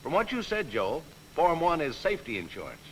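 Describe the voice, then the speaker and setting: A man's voice: on the high side, huge pitch swings, brisk, fairly bright tone, heavily acted, poor audio. A man playing a slangy, sceptical gatekeeper of dead pilots in a 1940s training film, wisecracking and emphatic. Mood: wry, grumbling. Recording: old film soundtrack, background noise.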